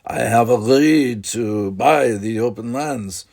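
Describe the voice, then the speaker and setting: A man's voice: mid-range voice, slow, gravelly, a little mellow. A man voicing a stern, proud father in an audiobook, speaking in an authoritative, cold way. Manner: authoritative, cold, bellowing when angered. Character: stern proud older father, commanding vintner. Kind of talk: audiobook character voice.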